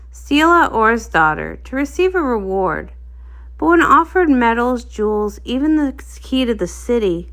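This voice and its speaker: A woman reading a storybook aloud as an expressive narrator. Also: sits high.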